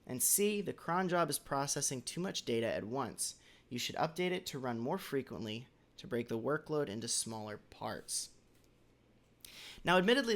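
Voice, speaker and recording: A man with a hoarse voice, recovering from a cold, giving a casual, measured developer lesson as the host of a weekly question. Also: mid-range voice, fairly bright tone. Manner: measured, slightly halting, with occasional throat clearing. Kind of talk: casual instructional talk.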